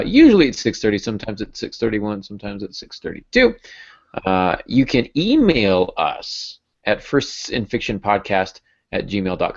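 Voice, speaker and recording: A man in his thirties hosting a casual podcast, with a dryly self-deprecating way of talking. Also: lowish voice. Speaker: podcast host. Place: small home room with bookshelves. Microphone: earbud headset on a video call. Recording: dull video-call audio.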